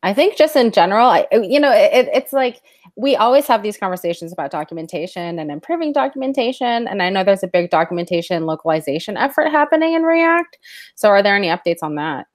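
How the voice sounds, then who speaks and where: A woman's voice: medium pitch, big pitch swings, fast talker, no room sound, clean studio sound. A woman hosting a casual tech discussion and asking the panel curious questions.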